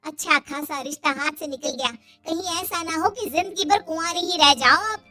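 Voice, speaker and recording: A woman's voice: very high-pitched, moderate pace, sharp tone, faint music behind. A woman playing a taunting young sister-in-law in a cartoon, mock-sweet and needling. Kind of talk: Hindi cartoon dialogue.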